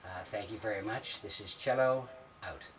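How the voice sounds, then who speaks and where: A man in his sixties giving a step-by-step instructional demonstration in a plain, methodical way with hesitant pauses. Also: on the low side. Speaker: sailing instructor. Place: small wood-panelled sailboat cabin at the navigation station. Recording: distant mic, clear background noise.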